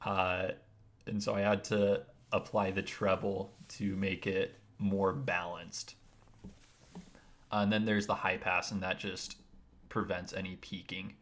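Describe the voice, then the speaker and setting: Deep-voiced man rambling through a tutorial. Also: little pitch movement, measured pace, a bit bright. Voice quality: naturally deep voice. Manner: rambling, explains step by step. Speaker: streamer. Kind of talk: casual livestream tutorial.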